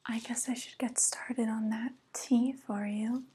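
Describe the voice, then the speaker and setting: A young woman playing a softly coaxing evil witch in an ASMR roleplay, whispering slowly and gently, close to the microphone. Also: on the high side, a little hiss or hum, lightly acted. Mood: admiring, coaxing. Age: young adult. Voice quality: breathy whisper. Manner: slow, gentle, flattering, falsely kind. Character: soft, coaxing evil witch luring a guest.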